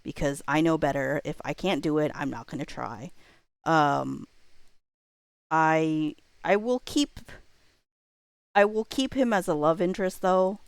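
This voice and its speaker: Low-pitched woman rambling casually, dry close sound. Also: a little slow, long pauses, smooth, no room sound. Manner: rambling, self-interrupting, informal. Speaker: livestream host. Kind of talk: casual livestream chat, thinking aloud.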